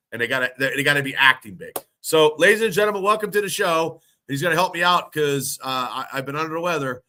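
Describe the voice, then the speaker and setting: A man's voice: a little high, bright, forceful tone. A congested, under-the-weather man hosting a casual sports podcast, rambling with frequent restarts. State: congested, sick. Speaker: podcast host.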